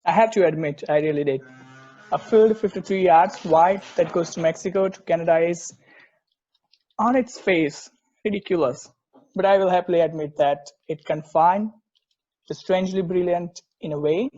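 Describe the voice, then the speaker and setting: A man's voice: high voice, moderate pace, warm tone. A man acting as an earnest, particular, opinionated football fan in a conversational table read, emphatic and occasionally stumbling over words. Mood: explanatory, bemused. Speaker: amateur actor in a remote table read. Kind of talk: conversational dramatic script reading. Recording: dull lo-fi microphone sound.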